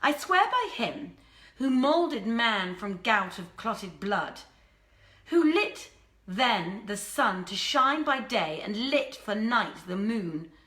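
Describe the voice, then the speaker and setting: A woman's voice: mid-range voice, sing-song, takes it slowly, bright, forceful tone, reverberant, full character voice. A woman voicing a haughty young princess in a dramatic storybook reading, imperious and indignant in archaic speech. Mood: stern, threatening. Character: haughty young princess, quick to fury and scorn.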